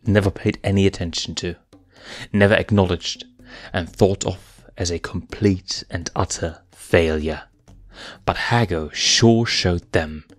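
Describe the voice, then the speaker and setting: A man narrating a fanfiction story in a measured, dramatic storytelling manner. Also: low-pitched, no echo. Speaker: reader.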